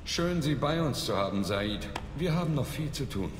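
An actor playing a resolute, commanding space soldier in a video game, speaking firmly. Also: male voice, mid-range voice, sing-song, normal speed, natural voice. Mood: assured. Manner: firm, assured. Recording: reverberant game scene, faint noise.

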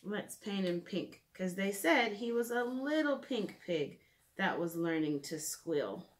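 A woman in her thirties, a children's teacher, talks gently and simply through a drawing lesson, thinking aloud, recorded in a living room by a camera a few feet away. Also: mid-range voice, sing-song, slow pace. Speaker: children's art and reading teacher. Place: living room at home, indoors.

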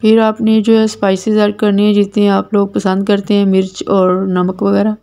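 A woman's voice: mid-range voice, monotone, moderate pace, vocal fry, warm tone, smooth. A woman with a Pakistani Urdu accent, hosting a cooking channel and explaining a recipe step by step in a plain, repetitive instructional way. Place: home kitchen.

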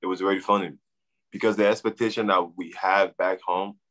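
Young liberian man, very deep voice, stop-start repeating speech, video call webcam. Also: steady tone, fairly bright tone. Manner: halting, repeats words often. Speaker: guest, soccer player. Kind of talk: casual video-call interview. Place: room at home.

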